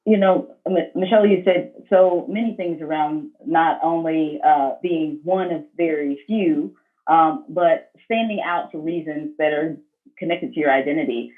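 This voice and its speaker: Woman hosting an online panel, affirming and measured, tinny narrow video call sound. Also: deep voice. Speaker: panel moderator. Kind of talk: moderated panel discussion. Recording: thin, band-limited video call audio.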